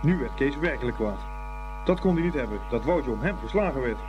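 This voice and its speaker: A man's voice: mid-range voice, animated, a little fast. Male storyteller with music behind. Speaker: narrator. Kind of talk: scripted story narration for a radio play.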